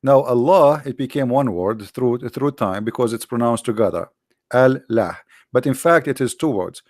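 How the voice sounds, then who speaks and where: A man whose first language is Arabic, lecturing combatively over on-screen text, with many halting fillers and slow, drawn-out stress on key words. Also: medium pitch, darkish tone, dry recording, studio quality. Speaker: religious commentator.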